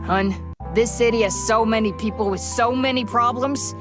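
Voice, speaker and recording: Woman playing a tough older bartender, highish voice. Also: normal speed, close to an everyday voice. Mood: world-weary. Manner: folksy, no-nonsense. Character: tough, world-weary older female bartender, motherly. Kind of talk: visual novel character acting. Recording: music behind the voice.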